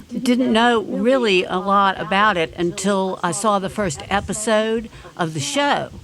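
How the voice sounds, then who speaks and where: Slow-talking older woman from Tennessee. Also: on the low side, a bit bright. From Memphis, Tennessee. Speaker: audience guest on a panel, lawyer. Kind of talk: casual chat on stage.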